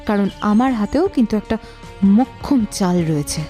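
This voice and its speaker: A woman playing an irritated, sharp-tongued married woman in an audio detective drama, curt, scornful and suspicious. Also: mid-range voice, animated, moderate pace, soft background music, close to an everyday voice. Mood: accusing, scornful.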